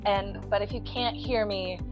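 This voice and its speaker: A woman, an estate planning attorney giving a friendly educational talk, a little hoarse from allergies. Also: medium pitch, steady tone, normal speed, music in the background, low-quality recording. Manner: friendly, self-deprecating, chatty with fillers. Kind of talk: educational presentation to a group. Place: meeting room.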